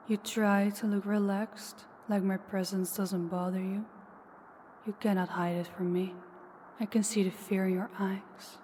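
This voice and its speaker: A woman playing an ancient, powerful vampire in an audio roleplay, slow, deliberate and condescending, with calm menace toward the listener. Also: mid-range voice, lightly acted. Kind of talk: intimate scripted audio roleplay addressed to listener. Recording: long hall reverb, faint background noise.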